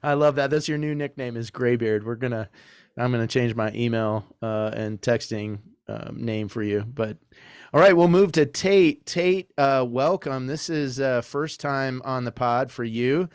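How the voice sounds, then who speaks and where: A man's voice: mid-range voice, measured pace, clean tone, no room sound, studio quality. A man hosting a casual podcast, joking with guests and inviting each one to speak. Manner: jokey, friendly, prompts guests. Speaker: podcast host.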